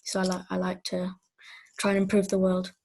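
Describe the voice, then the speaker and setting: A woman's voice: fairly low pitch, monotone, a little fast, vocal fry, darkish tone. A young woman giving reflective interview answers in an earnest, thoughtful way as a budding playwright.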